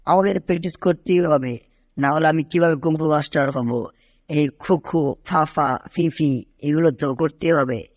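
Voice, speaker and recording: Man voicing an excitable high-pitched boastful cartoon cat. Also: moderate pace, no room sound, natural voice. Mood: eager. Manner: animated, whining, exclaiming, shouting kung fu cries. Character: excitable, boastful male cartoon cat dreaming of kung fu mastery. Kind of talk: amateur cartoon dub in Bengali.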